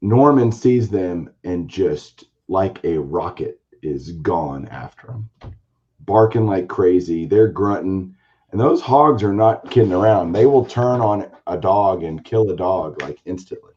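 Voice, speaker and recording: A man hosting a casual card-collecting chat show, telling a long story in a rambling, animated way with a hoarse, raspy voice. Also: low-pitched, a little slow, muffled recording. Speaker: podcast host, baseball card collector.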